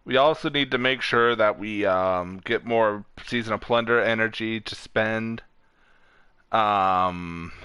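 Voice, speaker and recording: Guy streamer rambling n swearing over game chat, bright clear voice, dry close mic. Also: lowish voice, dry recording. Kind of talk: casual gaming chat with a friend over voice chat.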